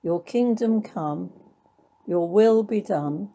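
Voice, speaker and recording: A woman reciting a prayer in a measured way, phrase by phrase. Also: medium pitch, dark tone.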